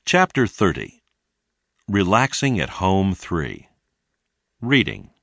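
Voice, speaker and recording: A man with a deep voice narrates a language lesson. His delivery is slow and deliberate, with animated intonation, and the sound is close and dry. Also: gravelly, dry recording. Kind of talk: scripted narration, read-along language lesson.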